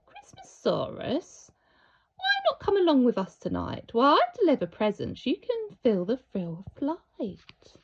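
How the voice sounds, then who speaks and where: Woman acting as kindly old Christmas gent, storybook voice, avuncular, animated, slightly dull recording. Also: high voice, unhurried, breathy edge, heavily acted. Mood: inviting. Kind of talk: storybook character voice.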